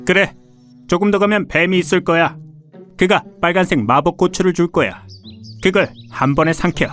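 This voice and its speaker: A man's voice: high voice, normal speed, a bit bright, soft background music. A man voicing a helpful forest animal in a children's cartoon, advising and warning. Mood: cautioning.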